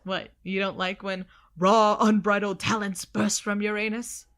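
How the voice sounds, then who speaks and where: A woman's voice: medium pitch, little pitch movement, a little slow, a bit bright. A young woman with an American accent playing a cocky, irreverent best friend who teases playfully in an audio drama. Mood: playful teasing. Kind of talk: naturalistic audio drama acting.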